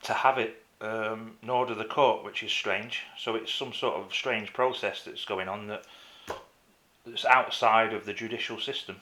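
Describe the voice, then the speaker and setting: A man making a complaint as a caller in a phone conversation, insistent and halting, often repeating himself. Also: lowish voice, steady tone, pushed and bright, slight background noise. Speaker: caller to a council office.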